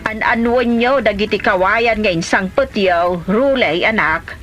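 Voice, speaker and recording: A woman's voice: mid-range voice, a little slow, fairly bright tone, dull audio, faint music behind, close to an everyday voice. A woman acting as a touchy, gossipy wife and mother in an Ilocano comedy drama. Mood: wary. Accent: Filipino, Ilocano.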